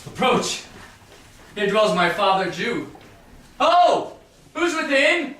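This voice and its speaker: An actor playing an ardent, playful young male lover in a staged Shakespeare reading, expressive and romantic in delivery. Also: medium pitch, slow. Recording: very echoey room, distant, faint noise.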